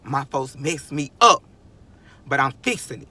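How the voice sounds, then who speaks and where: A man's voice: medium pitch, lively intonation, unhurried, bright, forceful tone, no room sound. A man in his twenties giving a rambling, emphatic motivational monologue, often chuckling and restarting sentences. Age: 20s. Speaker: vlogger.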